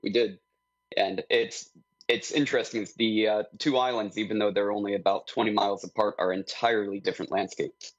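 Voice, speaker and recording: Low male guest on a dull lo-fi line. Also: fairly quick, no room sound. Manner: calm, descriptive. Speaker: photographer, guest. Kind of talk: casual podcast chat.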